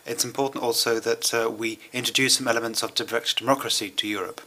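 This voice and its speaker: A man interpreting a German speech into English at a conference, with measured, hesitant phrasing and pauses. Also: mid-range voice, fairly even intonation, sharp tone.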